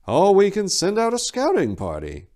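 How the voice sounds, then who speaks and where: A man voicing an ancient, wizened male abbot who speaks in a measured, solemn, questioning way for a fantasy story. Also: high voice, huge pitch swings, dark tone, voice pushed up. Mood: calm, welcoming. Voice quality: wizened, aged voice.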